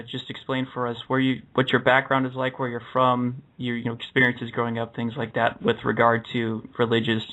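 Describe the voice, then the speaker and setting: A man hosting a radio talk show, earnestly reading through cases and interviewing a guest, often pausing with uh and correcting himself. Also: medium pitch, fairly bright tone. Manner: earnest, with frequent uh's and self-corrections. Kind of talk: reading case summaries and interviewing. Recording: dull lo-fi broadcast audio.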